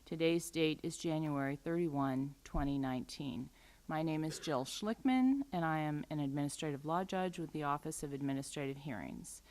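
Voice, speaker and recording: A woman working as an administrative law judge, opening a formal hearing in a measured, procedural way. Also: sits low, darkish tone, rough recording. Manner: measured, procedural, spells out case numbers slowly.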